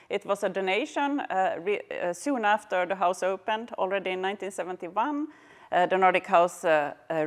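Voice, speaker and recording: A woman explaining things in an interview as a staff member of a cultural centre, in halting English. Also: lowish voice. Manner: halting non-native English.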